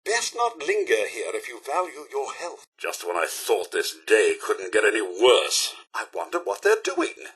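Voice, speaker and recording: An actor playing a polite, fussy, sardonic robot butler in a video game, with a British accent and a prim, theatrical, dryly sarcastic manner. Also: male voice, mid-range voice, huge pitch swings, unhurried, few pauses, fairly bright tone, breathy edge, heavily acted. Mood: wistful, concerned. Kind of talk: scripted game companion dialogue.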